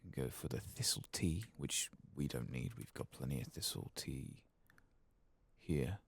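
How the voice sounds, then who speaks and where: A soft-spoken man murmuring unhurried video game commentary in an ASMR style, at times slipping into a whisper. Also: very deep voice, creaky, a little hiss or hum. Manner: murmured, unhurried, reading quest text aloud. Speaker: ASMR gaming channel host.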